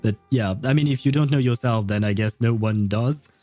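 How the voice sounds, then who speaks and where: A man's voice: mid-range voice, moderate pace, warm tone, dry recording, soft background music. A man livestreaming a video game, chatting casually and in a friendly, rambling way as he comments and reads dialogue aloud. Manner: chuckles often, rambling and friendly.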